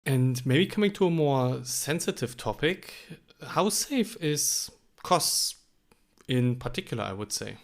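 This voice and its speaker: A man's voice: mid-range voice, expressive, measured pace, gravelly. A young adult man with a German accent, co-hosting a casual podcast chat and explaining things in an easygoing way. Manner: easygoing, explanatory, fond of "like" and "actually". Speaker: podcast co-host.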